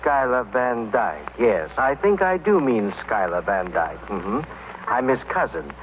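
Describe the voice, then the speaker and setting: An old, faintly noisy radio recording features a courteous attorney. His mid-pitched voice is lively in intonation yet tentative and polite, in vintage radio style. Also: unhurried, narrow, tinny audio. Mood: tactful. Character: polite, hesitant attorney seeking his cousin. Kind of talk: 1930s-40s radio acting.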